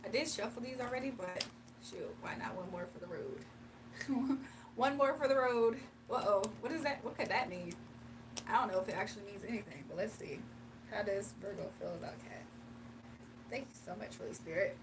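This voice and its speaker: A woman's voice: mid-range voice, moderate pace. A young woman chatting casually with her livestream viewers and laughing often, then doing a tarot reading. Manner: chatty, laughs often, reads chat aloud. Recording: distant mic with faint room noise.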